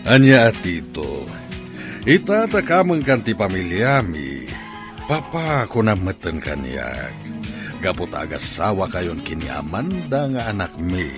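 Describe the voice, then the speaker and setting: A low, gravel-edged middle-aged man with an Ilocano accent. He is a business-minded, domineering radio drama father with very lively intonation, over faint music. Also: measured pace. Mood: proud, jovial.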